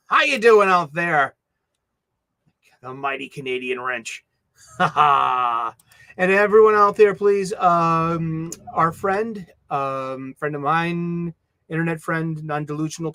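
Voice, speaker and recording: A man's voice: a little high, a bit bright, no echo. A middle-aged man with a Boston accent hosting a casual livestream, rambling and mumbling with many restarts and fillers. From Boston, USA. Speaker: livestream host. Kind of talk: casual chat.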